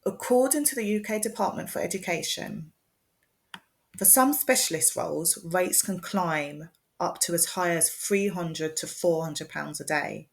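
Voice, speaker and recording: A woman narrates a prepared presentation as a speech and language therapist. Her voice sits low, and she takes her time. Her delivery is measured and explanatory, set out point by point. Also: creaky.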